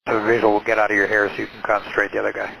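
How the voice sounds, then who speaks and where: Male pilot, rapid terse radio delivery, gravelly voice, noisy cockpit transmission. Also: fairly low pitch, monotone, pushed and bright. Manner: terse, procedural. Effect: aviation radio. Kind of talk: clipped cockpit radio calls. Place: aircraft cockpit.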